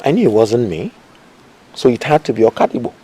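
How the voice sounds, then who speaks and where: A man's voice: mid-range voice, sing-song, creaky, mellow, husky, full character voice, poor audio. An actor playing a humble, principled nephew, speaking respectfully and earnestly to his uncle in a film drama. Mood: earnest, explaining. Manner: measured, earnest, deliberate.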